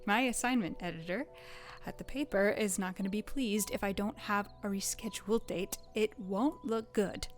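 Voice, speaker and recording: A woman's voice: mid-range voice, normal speed, lightly acted. A woman playing a determined, polite but persistent newspaper journalist in a screenplay reading, with music behind her. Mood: pressing. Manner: earnest, insistent, pushes back. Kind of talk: screenplay table-read acting.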